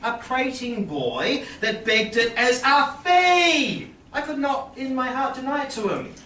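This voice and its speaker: A man's voice: very high voice, sing-song, unhurried, bright, forceful tone, heavily acted. A young man playing a flustered, defensive husband who stammers and blusters comically in a staged Shakespeare reading. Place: small indoor theatre stage. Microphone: far audience camera. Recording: roomy, noisy distant audience camera.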